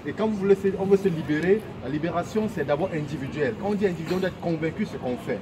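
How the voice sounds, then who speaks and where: Earnest man at a loud street protest. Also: a little high, rapid-fire. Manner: earnest, questioning, slogan-like. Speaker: protest speaker. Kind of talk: impassioned street speech. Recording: loud street noise outdoors.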